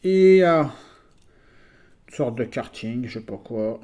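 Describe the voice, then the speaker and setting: A man's voice: medium pitch, lively intonation, takes it slowly, darkish tone, lo-fi sound, slight background noise. A man from France presenting his toy vehicle collection in a casual video, chatty and full of hesitations. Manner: chatty, hesitant with many fillers.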